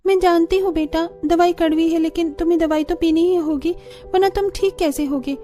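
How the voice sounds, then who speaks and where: Woman doing cartoon village mom, high mellow voice, caring n coaxing, bit of music behind. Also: steady tone, normal speed. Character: caring young village mother coaxing sick child. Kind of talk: Hindi cartoon story dubbing.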